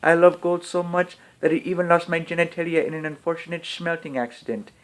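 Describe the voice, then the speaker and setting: A man voicing a gold-obsessed eccentric male villain with a put-on Dutch accent, drawling slowly. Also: fairly even intonation, lightly acted. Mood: gloating. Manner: drawling, high and slow, savoring words.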